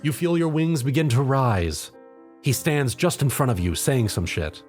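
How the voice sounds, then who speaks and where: A man's voice: medium pitch, animated, normal speed, a little mellow, faint music behind. A man narrating a comedic story in the second person, as a dramatic reader.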